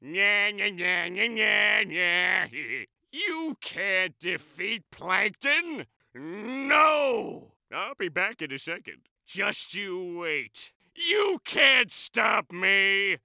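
A tiny, megalomaniac villain from a cartoon video game, speaks in a high, piercingly bright voice with a gravelly rasp. He drags out his schemes at a slow, gloating crawl. His boasts keep breaking into shouts, all of it in a dry, close studio sound. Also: very animated, no room sound, exaggerated voice. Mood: taunting. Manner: grandiose boasting with sudden shouts.

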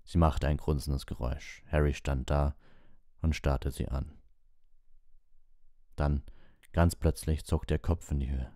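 Guy reading an audiobook story, really deep mellow voice, close dry sound. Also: fairly even intonation, a little slow, long pauses, no echo. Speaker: audiobook reader. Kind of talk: narrated fiction read aloud.

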